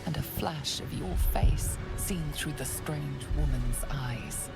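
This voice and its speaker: A woman playing an ominous game narrator, telling a scripted story in the second person with a hushed, deliberate delivery. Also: very deep voice, creaky, over loud music, exaggerated voice. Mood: ominous suspense.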